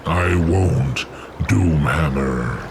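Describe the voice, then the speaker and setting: A man playing a scheming, sinister orc warlock in a fantasy game, gravelly and deliberate, with feigned servility. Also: a bass voice, noisy background, exaggerated voice. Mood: obedient, sly. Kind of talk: fantasy game cutscene acting.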